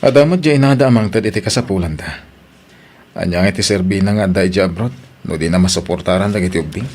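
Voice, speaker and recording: A man's voice: low-pitched, fast talker, darkish tone. A male actor with an Ilocano accent playing a caring, nagging husband in an audio drama. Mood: matter-of-fact. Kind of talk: Filipino audio drama acting.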